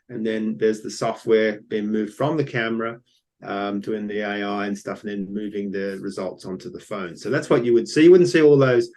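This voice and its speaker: An older man with an Australian accent presents his engineering company at a pitch event, explaining in a loose, unscripted way with frequent ums and restarts. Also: darkish tone.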